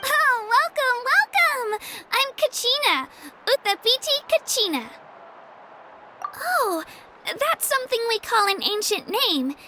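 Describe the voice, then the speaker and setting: A woman's voice: very high-pitched, very animated, takes it slowly, very noisy, exaggerated voice. A woman voicing an earnest, humble young girl warrior in a video game, girlish and bubbly but hesitant. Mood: welcoming, eager. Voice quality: girlish, youthful. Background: background music.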